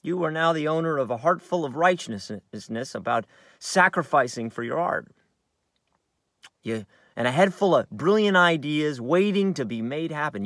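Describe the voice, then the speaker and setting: A man's voice: medium pitch, gravelly, no room sound, clean studio sound. Actor as a bitter, wounded American man, swinging widely. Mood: sardonic. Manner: theatrical, halting, shifting from hushed to outbursts. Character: bitter, wounded screenwriter brooding over his twin brother. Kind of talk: dramatic monologue in a staged reading, on book. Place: inside a parked car.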